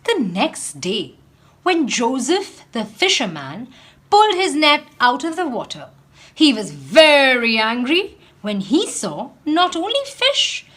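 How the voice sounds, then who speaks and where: A woman's voice: high-pitched, a bit bright. A woman storyteller reading a children's storybook aloud in a theatrical manner, acting out the sighs and exclamations.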